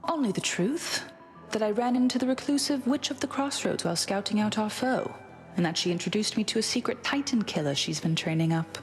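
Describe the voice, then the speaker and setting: A woman's voice: medium pitch, expressive, a little fast, faint music behind, lightly acted. A woman voicing a confident young huntress goddess in a video game, speaking in a casual, candid and wry way. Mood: wry, teasing.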